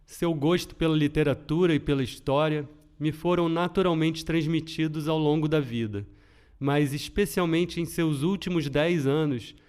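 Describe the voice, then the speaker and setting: A middle-aged man with a Brazilian accent, hosting a podcast in an earnest, measured reading style. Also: a little high, darkish tone, smooth. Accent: Brazilian Portuguese. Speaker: podcast host. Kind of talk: scripted podcast narration.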